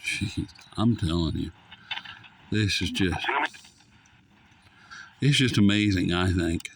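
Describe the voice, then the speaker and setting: A man's voice: sits low, takes it slowly, gravelly. A man giving a casual, unscripted radio demonstration with folksy enthusiasm, as a hobbyist presenter. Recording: noisy, with shortwave radio static.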